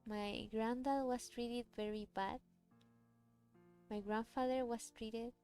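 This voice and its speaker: A woman's voice: on the high side, fairly even intonation, slow, dark tone, smooth. A woman whose first language is Cantonese, chatting casually on a livestream while she draws, rambling and answering chat questions. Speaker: streamer drawing a webcomic. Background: faint music.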